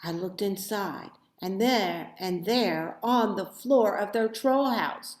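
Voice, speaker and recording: Female, children's read-aloud, medium pitch, unhurried slow pace, expressive deliberate phrasing, roomy echo. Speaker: storybook reader. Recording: roomy, echoey sound.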